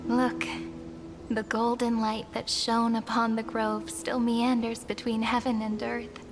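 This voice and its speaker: An actress voicing a gentle, wistful young fantasy game heroine, speaking in a measured, tender, poetic way. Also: female voice, a little high, level tone, background noise. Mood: wistful, solemn. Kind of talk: scripted fantasy game voice acting. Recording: long reverb under game music.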